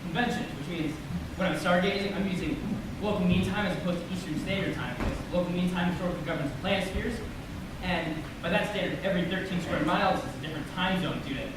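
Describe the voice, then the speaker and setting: An awkward, earnest teenage boy played by a man talks fast in a high voice. He explains things pedantically and literally, as in an amateur stage play. The sound is distant and very echoey. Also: background noise, lightly acted. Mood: earnest, pedantic. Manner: pedantic, detailed explanations with stumbles. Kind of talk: amateur one-act stage play acting.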